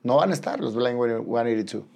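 A man's voice: mid-range voice, moderate pace, vocal fry, dark tone. A man with a Mexican accent hosting a casual interview, enthusiastic and full of slang. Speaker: interviewer, podcast host. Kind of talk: casual chat.

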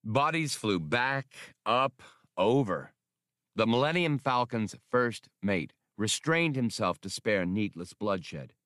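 Man, audiobook narration, dramatic, lowish voice, lively intonation, dry close sound. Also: slow pace, dry recording.

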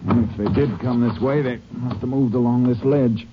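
An actor playing a stern, shrewd Texas Ranger in an American radio drama, speaking in terse, authoritative phrases. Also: male voice, medium pitch, steady tone, normal speed, background noise, lightly acted. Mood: focused, watchful. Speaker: lead actor and narrator. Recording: muffled early-1950s radio recording with music.

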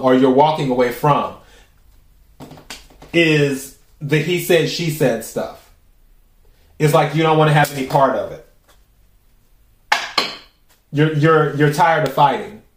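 A man with a raspy voice hosting a podcast, giving a casual, chatty tarot reading and musing aloud with drawn-out pauses. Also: fairly high pitch. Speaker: tarot reader and podcast host. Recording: roomy echo.

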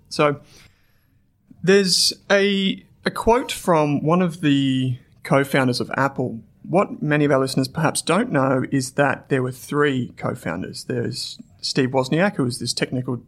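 Australian man hosting a finance podcast. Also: medium pitch. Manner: chatty, storytelling with fillers. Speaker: podcast host. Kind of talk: podcast interview with read ad.

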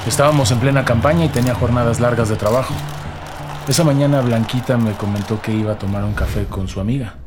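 A man's voice: medium pitch, fast talker, over music, natural voice. An actor playing a grieving politician in his sixties, subdued and hesitant, in an audio drama. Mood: subdued, weary. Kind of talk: scripted audio drama dialogue.

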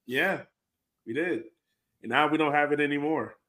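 A man's voice: on the high side, moderate pace, bright, forceful tone. A man co-hosting a sports fan podcast, talking casually and informally with a resigned manner. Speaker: co-host of a soccer fan podcast.

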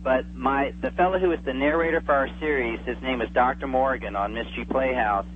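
A man in his forties chatting as an interview guest, enthusiastic and reminiscing, often halting and repeating words, heard over a phone. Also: medium pitch. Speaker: interview guest, radio drama producer. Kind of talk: casual chat, reminiscing. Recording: tinny phone line with hum and faint noise.

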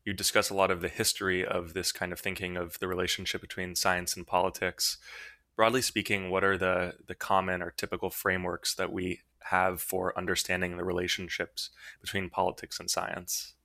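A man's voice: deep voice, level tone, brisk, sharp tone, no echo. A man hosting an academic book podcast, reading a formal introduction and then asking interview questions. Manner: formal, read-aloud delivery. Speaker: podcast host, assistant editor.

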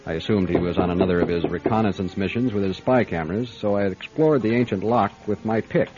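An actor playing a brisk, wry private detective in a radio drama, with a clipped, matter-of-fact delivery. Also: male voice, on the low side, lo-fi sound, noisy background, close to an everyday voice. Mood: wry, brooding. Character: hard-boiled male private detective, brisk, wry, businesslike. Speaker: lead actor and narrator. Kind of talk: radio detective drama with first-person narration.

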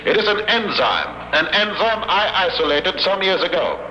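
A man's voice: high voice, sing-song, moderate pace, pushed and bright, roomy sound, in a large hall, a little hiss or hum, exaggerated voice, rough recording. An actor playing a cold, gloating male scientist villain in a 1940s-style radio drama, talking in a mocking, formal and precise way. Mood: lecturing.

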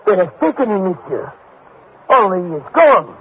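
A man's voice: high-pitched, sing-song, normal speed. An actor playing an anxious, courteous French father in a 1950s radio drama, speaking with a French accent. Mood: alarmed. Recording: tinny, noisy vintage radio recording.

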